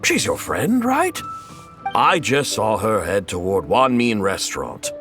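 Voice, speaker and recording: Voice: medium pitch, wildly swooping pitch, measured pace. An actor playing a talkative, jovial townsperson who fancies being older and wiser, with theatrical, teasing delivery in an anime video game. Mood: chatty. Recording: game music behind voice.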